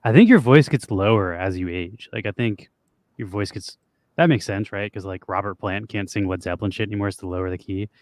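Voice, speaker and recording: A young man with a low, raspy voice, chatting loosely and swearing casually as a podcast co-host. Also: fairly quick, no echo. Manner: loose and profane, trails off with fillers. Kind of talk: casual chat with guitar and singing.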